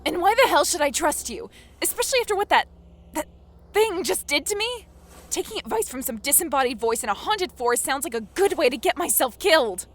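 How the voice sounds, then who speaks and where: A frightened teenage girl acted by a woman in an audio drama, high and very lively in pitch. She is breathless and incredulous, challenging and sarcastic even while afraid. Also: fairly quick. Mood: defiant.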